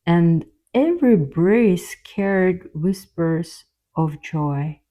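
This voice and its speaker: Very slow, mellow woman storyteller. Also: on the low side. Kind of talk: scripted children's fairy tale narration.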